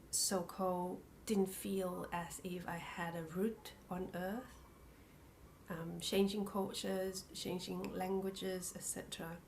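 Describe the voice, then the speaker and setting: Female interview guest, middle-aged, from Thailand and New Zealand. Unhurried, pausing, reflective, breathy, sometimes near whisper. Video call, slight background noise. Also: lowish voice, darkish tone. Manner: halting, reflective, phrases broken by pauses. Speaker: interview guest, spiritual practitioner. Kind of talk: personal life story in conversation.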